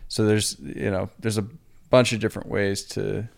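Gravelly-voiced cyclist, relaxed and rambling. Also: male voice, on the low side, moderate pace. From US Pacific Northwest. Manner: relaxed, rambling, self-deprecating. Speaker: professional cyclist, guest. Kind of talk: casual podcast conversation.

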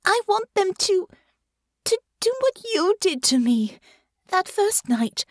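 A woman voicing an anxious, wounded young noblewoman, high and plaintive. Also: big pitch swings, takes it slowly, no echo, clean studio sound, heavily acted. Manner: plaintive, rising, tearful pleading.

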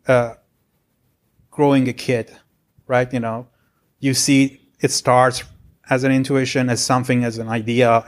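A man in his forties, originally from Iran, telling his career story as a podcast guest in an earnest, rambling way. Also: medium pitch. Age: 40s. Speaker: guest, software architect. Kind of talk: casual interview chat.

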